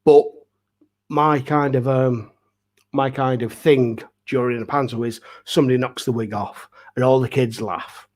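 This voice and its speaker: A man's voice: mid-range voice, steady tone, measured pace, a little mellow. A middle-aged man, telling anecdotes casually and self-deprecatingly as an interview guest. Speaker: pantomime dame performer, interview guest.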